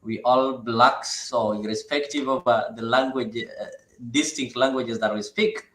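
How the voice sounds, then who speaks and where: A man hosting a panel discussion on a talk show, often pausing with hesitant fillers. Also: medium pitch, steady tone, a bit bright, reverberant, poor audio.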